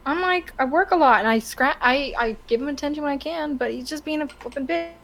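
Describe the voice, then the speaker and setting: A woman guest on a casual podcast, talking in halting, self-repeating phrases. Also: high-pitched, gravelly, fairly bright tone.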